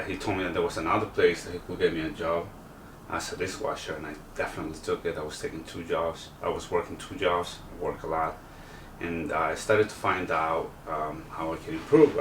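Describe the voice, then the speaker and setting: A man from El Salvador, a contestant telling his life story in an interview, talking haltingly with many fillers. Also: low voice, steady tone, fairly bright tone, a little hiss or hum. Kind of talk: personal interview. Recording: roomy sound from a distance.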